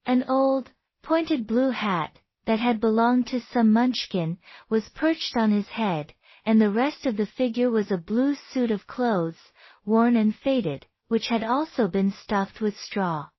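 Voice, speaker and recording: High-pitched woman reading a storybook. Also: takes it slowly, dry recording, dull audio. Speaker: storybook narrator. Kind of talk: scripted bedtime story read-aloud.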